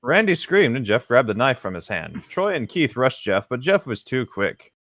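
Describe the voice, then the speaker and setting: Man, mid pitch, animated reader, sardonic asides, dry studio-close sound. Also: normal speed, no echo. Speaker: podcast co-host reading horror fiction aloud. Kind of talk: story read-aloud with casual mocking commentary.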